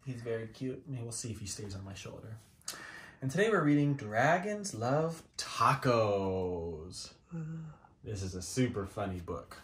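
Wildly animated man reading a storybook. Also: lowish voice, a little slow, a little hiss or hum. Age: 30s. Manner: theatrical, exaggerated, playful delivery with swoops and dramatic gasps. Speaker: storybook reader. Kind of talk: animated children's picture book read-aloud. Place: small child's room indoors.

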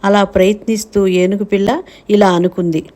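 A woman's voice: medium pitch, little pitch movement, normal speed, mellow. A woman giving scripted narration of a children's story.

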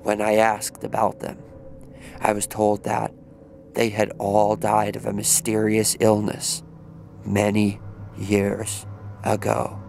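A man narrating a scripted story in a measured, flat manner. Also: on the low side, gravelly, a little hiss or hum.

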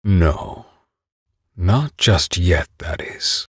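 Aging video game knight, low voice, widely swinging intonation, proud, anguished, halting delivery. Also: male voice, animated, a bit bright, breathy edge, dry recording, clean studio sound, full character voice. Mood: grim, menacing. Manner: dramatic, halting, deliberate. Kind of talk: dramatic video game acting.

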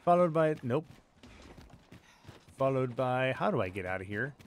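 A man's voice: mid-range voice, big pitch swings, moderate pace. Chatty man narrating gameplay. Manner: chatty, thinks aloud, wry asides. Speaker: let's play host. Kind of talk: casual gameplay commentary.

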